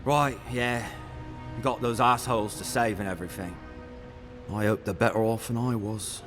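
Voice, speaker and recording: A man's voice: lowish voice, fairly even intonation, normal speed, natural voice. An actor playing a wounded expedition member in a video game, a coarse, folksy grumbler who is bitter at his crew. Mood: wry, grateful. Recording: music behind the voice. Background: game music.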